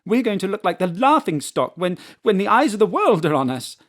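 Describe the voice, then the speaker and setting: An actress playing a brisk female city mayor who speaks formally and politely, then bluntly. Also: medium pitch, very animated. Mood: businesslike. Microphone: home studio mic.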